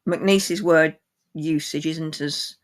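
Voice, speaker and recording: A woman's voice: deep voice, fairly even intonation, slow pace. A woman talking about books and poetry informally, as a reader and guest. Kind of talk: poem reading and informal book chat.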